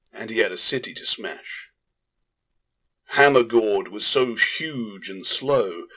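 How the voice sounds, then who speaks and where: A man's voice: fairly low pitch, a bit bright, poor audio. A man narrating a fantasy audiobook in a dramatic, scripted storytelling style.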